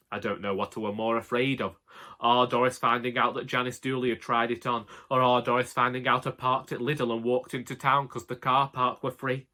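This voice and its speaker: Male actor as elderly henpecked husband, Northern English accent, bright forward voice, wry deadpan grumbling, comic audiobook storytelling. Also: mid-range voice, close to an everyday voice. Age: older. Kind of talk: comic scripted monologue narration.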